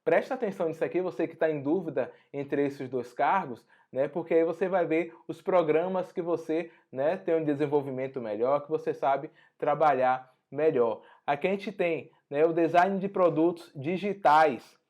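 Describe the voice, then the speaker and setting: A hoarse man with a Brazilian Portuguese accent, teaching an online exam-prep lesson in a conversational way full of tag questions. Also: fairly high pitch, normal speed, hardly any pauses, darkish tone, muffled recording. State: hoarse after a flu. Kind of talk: online lesson walking through an exam notice.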